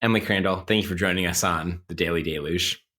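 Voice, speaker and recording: A man's voice: deep voice, fairly even intonation, fast, sharp tone. A man hosting a casual, intellectual podcast interview, with academic vocabulary and a playfully mock-formal manner. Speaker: podcast host.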